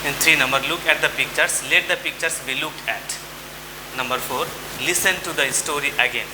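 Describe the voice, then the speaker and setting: Male teacher, deliberate and repetitive classroom delivery, heavy rain-like hiss over the recording. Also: a little high. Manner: deliberate, repeats and spells out words. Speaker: English grammar teacher. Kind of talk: classroom lesson addressed to students. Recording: very noisy, rain-like hiss throughout.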